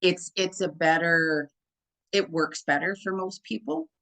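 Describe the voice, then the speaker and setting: A woman with a deep, full voice, talking as a hypnotherapist guest in a casual interview, chatty and full of "like" and "right?". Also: unhurried, fairly bright tone. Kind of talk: casual conversation.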